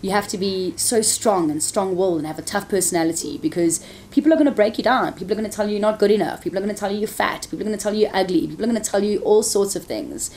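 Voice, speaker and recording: A woman's voice: mid-range voice, lively intonation, racing, few pauses, a little mellow, a little hiss or hum. A woman, a TV presenter answering interview questions frankly, with laughing asides. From South Africa.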